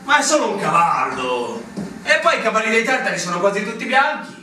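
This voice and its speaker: An actor playing a keen, excitable fortress soldier in a theatrical radio drama, urgent and emphatic. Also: male voice, high-pitched, a little fast, exaggerated voice. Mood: matter-of-fact. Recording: very echoey, distant stage recording, faint noise.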